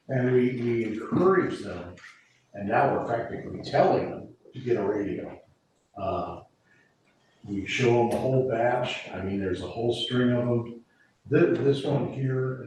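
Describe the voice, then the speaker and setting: A man's voice: mid-range voice, darkish tone, a little hiss or hum. A man talking from far off in an echoey room. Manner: rambling, with many restarts. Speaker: emergency preparedness volunteer. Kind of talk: explaining at a committee meeting. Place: meeting room.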